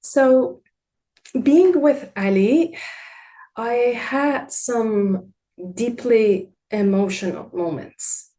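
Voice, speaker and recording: Mellow-voiced woman therapist, native Farsi. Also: a little high, poor audio. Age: adult with a child. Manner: measured, gentle, partly read from notes. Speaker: art therapist. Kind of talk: presenting a clinical case study.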